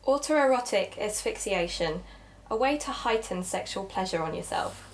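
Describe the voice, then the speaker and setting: A woman's voice: mid-range voice, animated, moderate pace, slight background noise, close to an everyday voice, poor audio. A woman playing a keen young amateur podcaster in an audio drama, chatty and explanatory in manner. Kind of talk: naturalistic audio drama dialogue and narration.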